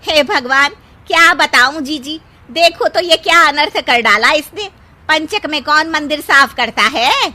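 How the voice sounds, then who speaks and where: A woman's voice: high voice, animated, unhurried, sharp tone, full character voice. A woman playing a sarcastic, easily enraged middle-aged mother-in-law in a cartoon moral story, with a theatrical, taunting manner. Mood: indignant, scolding. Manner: theatrical, taunting, indignant exclamations. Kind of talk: dramatized Hindi moral story dialogue.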